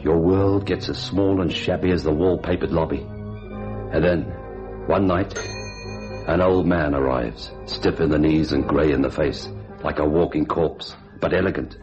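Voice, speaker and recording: An actor playing a curt, jaded young hotel night receptionist, blunt and hard-boiled in a radio noir style. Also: male voice, very deep voice, moderate pace. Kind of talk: radio noir acting and narration. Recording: dull old radio recording with music.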